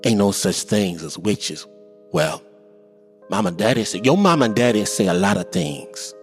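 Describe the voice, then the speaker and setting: A man voicing a wise older grandmother who speaks slowly in a low, hushed, warning tone. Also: lively intonation. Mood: grave. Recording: long hall reverb, faint music.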